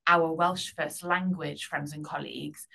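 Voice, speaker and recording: A woman board member speaks reflectively and a little hesitantly, working through her rusty Welsh. Her voice is low for a woman and quite flat in pitch. She is heard in a large boardroom. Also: a little slow, gravelly. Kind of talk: formal meeting remarks.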